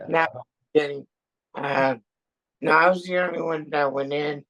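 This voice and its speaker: An older man in his seventies with a gravelly, slightly high voice. He talks very slowly and haltingly, pausing often and filling gaps as he tells his story in a relaxed chat. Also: rough recording. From born in Austria, raised in Brooklyn and France. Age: 70s. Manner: halting, hesitant, many pauses and fillers. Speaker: interview guest, Air Force veteran. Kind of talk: casual chat.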